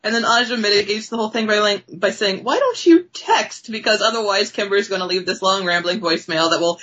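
A woman's voice: medium pitch, lively intonation, brisk, fairly bright tone, muffled recording. A woman co-hosting a casual comic book discussion, informal and appreciative, often acting out lines. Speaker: podcast host.

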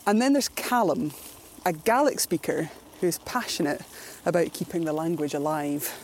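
A woman with a Scottish accent narrating a river journey outdoors in a reflective, unhurried way. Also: sits low, a little mellow, slight background noise. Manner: musing, unhurried. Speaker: adventurer presenting a journey.

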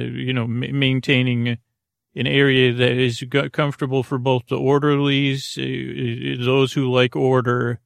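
A man hosting a bedtime podcast with a creaky voice, rambling in a lulling, hesitant, meandering way that keeps drifting into tangents. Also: medium pitch, no room sound, clean studio sound. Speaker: podcast host. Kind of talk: rambling bedtime monologue for sleep.

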